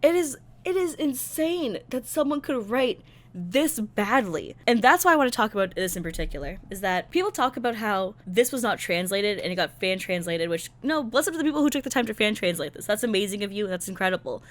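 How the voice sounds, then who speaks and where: A woman's voice: mid-range voice, expressive, brisk. A woman giving casual, ranting commentary in a sarcastic, exasperated and blunt manner. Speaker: video creator reviewing a game's visual novel.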